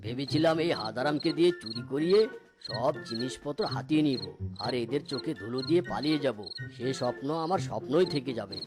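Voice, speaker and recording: A man's voice: mid-range voice, expressive, fast, loud music behind the voice, natural voice. A man voicing a scheming, grumbling fake holy man in a cartoon, with a theatrical delivery. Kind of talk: cartoon character acting.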